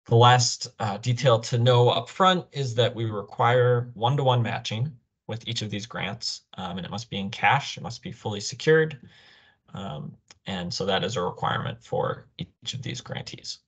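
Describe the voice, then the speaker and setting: A man's voice: mid-range voice, lively intonation, normal speed, a bit bright, breathy edge, dull audio. A young man explaining a program as a presenter in an online orientation, speaking over a video call. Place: indoor room, on a video call. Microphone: video call microphone.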